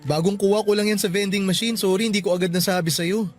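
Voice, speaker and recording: Actor as friendly, considerate Filipino male office worker, late twenties, higher register, radio drama over soft music. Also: steady tone, quick pace, lightly acted. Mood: friendly, attentive. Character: friendly, considerate 29-year-old male bank employee.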